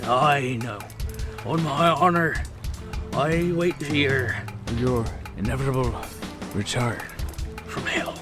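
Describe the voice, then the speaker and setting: Man putting on a slow, sly old tugboat captain. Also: mid-range voice, lightly acted. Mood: sly, solemn. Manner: slow, archaic salty phrasing. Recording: music behind the voice.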